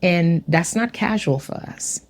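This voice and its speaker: A woman from Haiti giving an earnest, measured personal testimony. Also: fairly low pitch.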